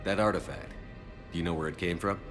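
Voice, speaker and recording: A man's voice: sits low, fairly even intonation, fast, lightly acted. A man playing a determined military commander in a video game, authoritative and clipped. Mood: commanding, probing. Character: commanding male military officer, brisk, determined leader. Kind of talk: dramatic video game acting. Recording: loud music and game sound behind. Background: game music.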